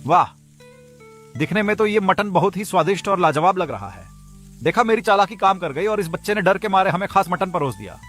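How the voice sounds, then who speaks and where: A man's voice: high-pitched, animated, brisk, a bit bright, faint music behind. A man playing a blustering, threatening con-man thief posing as an official in a cartoon moral story. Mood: gloating. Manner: threatening, exaggerated.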